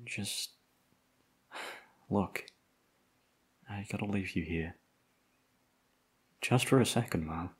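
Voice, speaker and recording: A man acting a tough, husky, low-voiced bodyguard. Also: slow pace, long pauses, exaggerated voice. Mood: hushed, reassuring. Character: tough protective male bodyguard, foul-mouthed, tender toward his charge. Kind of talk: ASMR audio roleplay.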